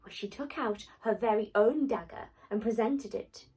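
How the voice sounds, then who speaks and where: A woman telling a fairy tale dramatically, with theatrical delivery and deliberate pauses. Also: a little high, dark tone, husky, rough recording. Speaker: storyteller.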